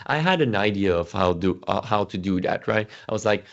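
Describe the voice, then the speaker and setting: A man's voice: lowish voice, lively intonation, fairly quick, vocal fry, highs cut off. A man whose first language is French, walking through a spreadsheet demo in a casual, self-correcting way with lots of fillers and restarts. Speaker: Excel instructor presenting. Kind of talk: casual screen-share walkthrough.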